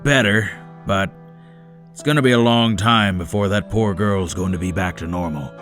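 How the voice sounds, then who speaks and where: A man's voice: on the low side, normal speed, a bit bright, soft background music, close to an everyday voice. A man playing a frank, world-weary old man, the elder of a survivor camp, in a dramatic comic dub. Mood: resigned.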